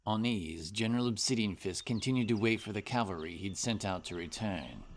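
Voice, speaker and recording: A man's voice: lowish voice, fairly quick. A man reading scripted fantasy story narration as the narrator of an audio drama. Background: music.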